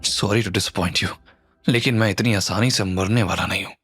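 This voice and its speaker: A man voicing a fierce, desperate young hero in an audio drama, shouting in frustration. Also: fairly low pitch, normal speed, slightly rough, voice pushed down. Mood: defiant. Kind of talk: Hindi audio drama acting. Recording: music under the voice.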